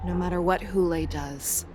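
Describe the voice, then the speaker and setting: A woman voicing a commanding female general who speaks in a measured, instructive way and rallies her troops. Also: on the low side. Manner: measured, instructive, rousing when addressing troops. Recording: studio game dub over loud music.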